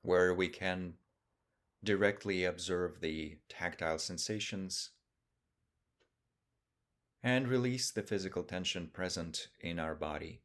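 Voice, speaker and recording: Low-voiced man guiding a meditation. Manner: calm, measured, with long pauses between short phrases. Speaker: meditation teacher. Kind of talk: guided meditation.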